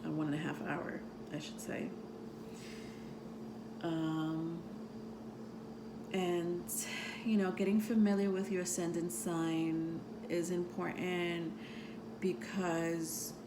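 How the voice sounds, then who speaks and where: A woman with a soothing voice, who grew up outside the United States, talking about astrology to her viewers in a meandering way full of ums and soft laughs. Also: fairly low pitch, steady tone, slow, pauses often, noisy background. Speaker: tarot reader and astrology vlogger. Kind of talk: casual rambling talk to camera.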